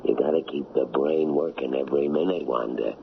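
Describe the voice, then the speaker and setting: Very deep gravelly crook, muffled radio. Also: male voice, a little fast. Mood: sly, boastful. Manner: slangy, brash street-tough talk. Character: cocky, scheming, menacing male drug smuggler. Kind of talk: radio drama acting. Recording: muffled, lightly noisy old radio broadcast.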